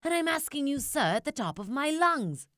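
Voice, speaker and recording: A woman voicing a small, mossy old male forest creature for a storybook, bossy and indignant, with a sharp edge and emphatic delivery. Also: high voice, big pitch swings, moderate pace, dry recording, clean studio sound, pitched above the performer's own voice. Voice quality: sharp-edged. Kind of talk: storybook character voice.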